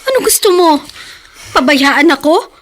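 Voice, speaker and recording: A teenage girl in a radio drama with an extremely high, whiny voice. She is tearful and stubborn, pleading like someone young and desperately in love. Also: expressive, measured pace. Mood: tearful, insistent.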